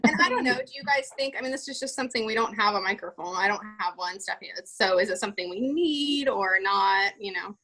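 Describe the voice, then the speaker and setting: A woman's voice: mid-range voice, animated, fast, few pauses, bright, forceful tone. A woman leading a casual planning meeting, chatty and full of fillers as she runs through lists of items. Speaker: event organizer leading a meeting.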